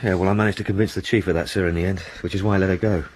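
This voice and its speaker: A deferential, eager detective sergeant in a radio drama, speaking quickly and politely. His voice is fairly low and a little husky. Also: steady tone, a little mellow. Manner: polite, keeps saying sir. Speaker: police sergeant.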